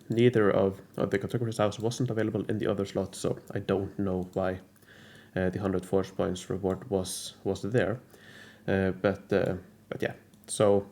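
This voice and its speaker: A man explaining a game strategy in a casual, methodical walkthrough, his voice a bit rough from a cold, pausing often with uh. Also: lowish voice, darkish tone. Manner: methodical, hesitant with frequent uh fillers. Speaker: strategy game guide creator.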